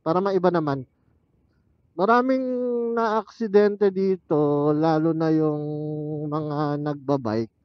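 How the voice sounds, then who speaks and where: A man with a fairly high voice talks casually as he rides, in the manner of a motovlogger thinking aloud. The sound is dull and close, with no room echo, as picked up by a helmet intercom mic. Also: slow, pauses often, clean tone. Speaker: motorcycle vlogger. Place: outdoors, riding a motorcycle on mountain roads. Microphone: helmet intercom headset used as external mic. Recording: muffled helmet intercom microphone.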